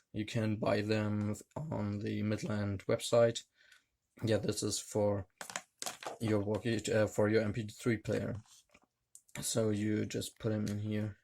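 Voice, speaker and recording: Slow, flat-voiced man demonstrating a gadget. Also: fairly low pitch, a little hiss or hum. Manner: hesitant, halting, with many fillers. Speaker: tech reviewer. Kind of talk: hands-on product demonstration.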